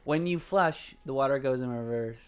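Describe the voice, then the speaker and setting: A man's voice: mid-range voice, normal speed. A man chatting casually and jokily as he hosts, posing silly hypothetical questions. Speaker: host posing would-you-rather questions. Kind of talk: casual joking chat.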